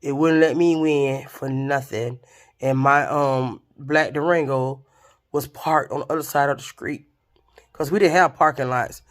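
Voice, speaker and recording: A woman's voice: extremely low pitch, measured pace. A woman, casually telling a story to her viewers. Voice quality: raspy voice. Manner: chatty, expressive, addresses viewers directly. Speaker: vlogger.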